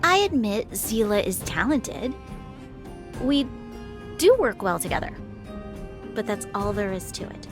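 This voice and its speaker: A woman's voice: mid-range voice, sing-song, measured pace, long pauses. A woman playing a composed, formal young military officer in a video game, earnest, polite and reserved. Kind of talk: video game story dialogue. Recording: clear music under the voice.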